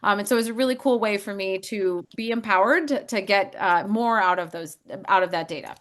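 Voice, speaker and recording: A woman's voice: mid-range voice, brisk, a bit bright. A woman in sales hosting a casual company demo, upbeat and friendly, with frequent fillers. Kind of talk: casual chat on video call.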